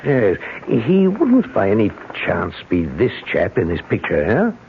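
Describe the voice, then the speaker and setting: An actor playing a courteous, hesitant older gentleman with a British accent in a radio mystery. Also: on the low side, huge pitch swings, slow, creaky, a little hiss or hum, exaggerated voice. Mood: probing. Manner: hesitant, genteel, probing. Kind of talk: radio mystery drama acting. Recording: tinny, narrow-band old radio broadcast.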